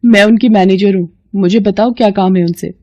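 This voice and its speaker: A woman plays a haughty fashion house manager in an animated moral story. She talks down to people with open scorn. The tone is mellow but condescending and mocking. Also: mid-range voice, fairly quick, no echo, dull audio, lightly acted. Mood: scornful.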